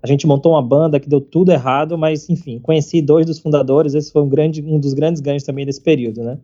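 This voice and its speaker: A man, chatting casually as a podcast guest, halting and full of fillers and casual slang. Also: on the high side, fairly even intonation, warm tone. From Salvador, Brazil. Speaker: startup founder, podcast guest. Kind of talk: casual conversational interview. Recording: slightly muffled, faint background music.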